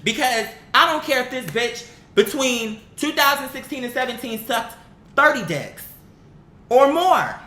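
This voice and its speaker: Blunt, exasperated woman, bright voice, echoey room. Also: sits high, expressive, a little slow. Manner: blunt, exasperated, emphatic, profane; argues through rhetorical questions. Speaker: online commentator. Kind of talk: opinionated casual commentary. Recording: echoey room with faint background noise.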